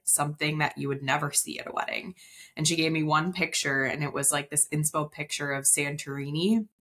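A woman's voice: low-pitched, pushed and bright. A woman. She explains things in a chatty, conversational way, as a guest on a casual interview podcast. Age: 30s. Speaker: interview guest, wedding planner. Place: indoor room on video call.

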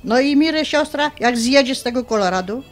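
Bossy Polish highlander mother, high voice, very animated, scolding, folksy, radio drama. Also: a little fast, soft background music. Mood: indignant. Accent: Polish highlander (Podhale). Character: bossy middle-aged mother, blunt, old-fashioned, pious.

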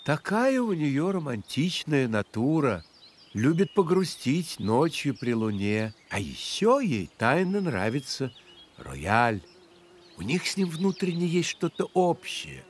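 Slow, animated gentleman grasshopper guide. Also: medium pitch. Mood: instructive. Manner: expressive, measured, courtly. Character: courtly gentleman grasshopper, a learned musical guide. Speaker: narrator and guide. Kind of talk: storytelling cartoon narration for children. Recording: music playing behind the voice.

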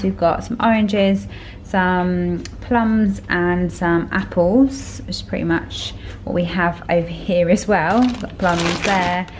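Chatty Southern English mum vlogging. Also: female voice, fairly low pitch, slight background noise. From southern England. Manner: chatty, many fillers like "um" and "like". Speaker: family vlogger. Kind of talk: casual grocery haul and meal plan chat. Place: home kitchen, indoors. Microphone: camera on a table facing her.

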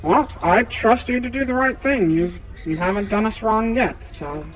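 A man's voice: high-pitched, moderate pace, faint music behind, voice pushed up, lightly acted, poor audio. A man voicing a hesitant, trusting town official in a tabletop game. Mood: puzzled.

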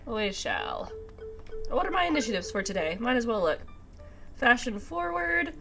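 A woman's voice: on the high side, big pitch swings, normal speed, sharp tone. Congested woman doing casual gaming commentary. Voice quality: slightly nasal, congested. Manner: chatty, talks to herself. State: congested with a sinus infection, recovering from a cough and sore throat. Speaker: gaming video host. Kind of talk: casual let's play commentary. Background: video game music.

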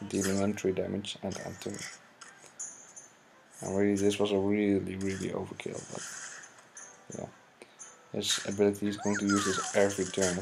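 A young man from the Netherlands with a Dutch accent, hesitantly commenting on his own card game match in halting English. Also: deep voice, vocal fry. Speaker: gaming channel host. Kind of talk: gameplay commentary. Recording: noisy home mic recording.

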